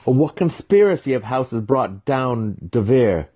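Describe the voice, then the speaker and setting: Man acting a desperate, high-pitched young elf student. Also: lively intonation, unhurried, dark tone, husky. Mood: desperate outcry. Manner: pleading, spluttering, proud. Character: young male dark-elf student, trapped, indignant and desperate. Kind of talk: dramatic fantasy audiobook acting.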